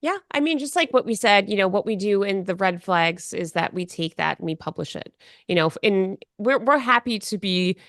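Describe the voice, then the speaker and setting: A woman on a non-profit board explaining her group's work in a podcast interview, measured and full of "you know". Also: medium pitch, expressive, fairly bright tone, no room sound, studio quality.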